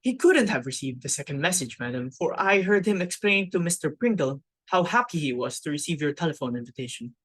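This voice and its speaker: A woman's voice: low-pitched, lively intonation, a little fast, hardly any pauses, slightly rough, no room sound. A woman acting a dutiful, deferential household servant in a dramatic play reading, formal and polite. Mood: dutiful reporting.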